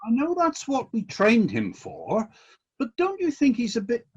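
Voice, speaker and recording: An actor playing an exacting, fair-minded celestial boss in a satirical radio play, speaking in a measured, questioning and politely insistent way. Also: mid-range voice, wildly swooping pitch, darkish tone, slightly rough, lo-fi sound, full character voice. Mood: politely critical.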